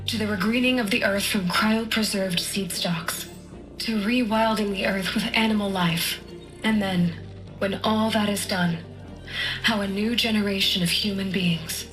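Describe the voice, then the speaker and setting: A woman's voice: mid-range voice, close to an everyday voice, low-quality recording. Earnest female scientist giving a solemn address, acted by a woman, music behind. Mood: solemn, rousing. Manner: deliberate, earnest oratory. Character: visionary female lead scientist briefing recruits, grave and inspiring. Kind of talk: video game cutscene speech.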